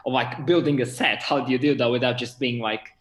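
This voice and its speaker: A man's voice: medium pitch, fairly even intonation, a little fast. Young Portuguese man in an echoey room. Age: 20s. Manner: enthusiastic. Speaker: theatre director and actor. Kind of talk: casual interview chat. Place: indoor room, on a video call. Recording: roomy, echoing video call audio.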